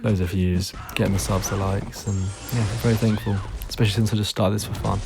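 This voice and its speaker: Hoarse, gravelly man commenting gameplay. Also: fairly low pitch, fairly even intonation, fast, music in the background. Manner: laid-back, rambling, frequent ums. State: hoarse, losing his voice; tired, yawning late at night. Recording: noisy recording with clicks.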